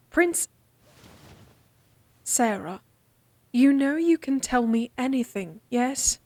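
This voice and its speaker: A woman playing a candid, devoted lady-in-waiting in a fantasy audio drama, speaking formally with a gently teasing, coaxing manner. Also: high voice, lively intonation, drawn-out words, long pauses, no echo, exaggerated voice. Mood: earnest, pleading.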